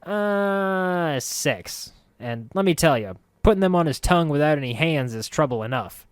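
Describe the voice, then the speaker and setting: A man's voice: on the high side, lively intonation, moderate pace, clear, resonant tone, dry recording, studio quality, voice pushed up, natural voice. A man voicing a blunt, talkative skeleton. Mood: gruff. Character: chatty skeleton companion, male, blunt.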